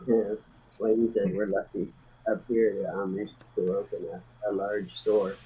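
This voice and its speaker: A man's voice: lowish voice, flat delivery, moderate pace, warm tone, slightly rough, rough recording. A man making short, plain remarks in a casual chat.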